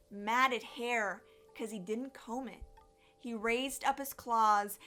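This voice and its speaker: A woman tells a children's story in a fairly high voice. She takes her time, shaping the phrases expressively like a teacher at read-aloud. Soft music sits behind her. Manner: animated, deliberate storytelling with acted sound effects.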